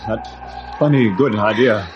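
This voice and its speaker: Actor as stilted mountain guide, muffled old radio. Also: male voice, fairly low pitch, a little slow, music in the background, close to an everyday voice. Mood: steady, wry. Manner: broken, stilted English with dropped words. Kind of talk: old-time radio adventure acting. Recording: muffled, faintly noisy old radio recording.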